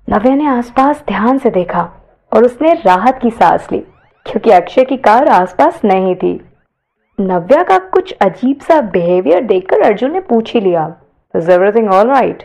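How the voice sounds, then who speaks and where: A woman's voice: mid-range voice, gravelly, mellow. A woman narrator reading a scripted audio story.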